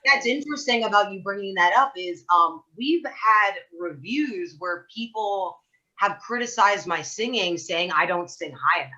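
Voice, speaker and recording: Bright-voiced woman, dull lo-fi audio. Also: medium pitch, expressive, moderate pace. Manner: thoughtful, trails off with fillers. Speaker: guest vocalist. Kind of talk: podcast conversation.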